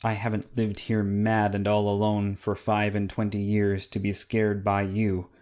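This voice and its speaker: Lady doing a low growly old hunchback guy, slow and nasty, storybook reading. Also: completely flat delivery, a little mellow. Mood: menacing. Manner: slow threatening snarl. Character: ugly old humpbacked man, snarling and menacing. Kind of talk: audiobook reading.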